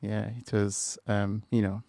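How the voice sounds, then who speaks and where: Low-voiced Nigerian man, thoughtful. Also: flat delivery, a little slow, creaky, dark tone, no room sound, clean studio sound. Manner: thoughtful, hesitant, many fillers and restarts. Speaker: podcast guest, structural engineer. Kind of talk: conversational interview.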